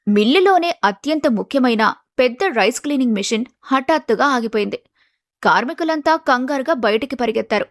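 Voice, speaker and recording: Woman narrator telling a scripted story, kinda high voice, dry clean recording. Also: animated, brisk, dry recording.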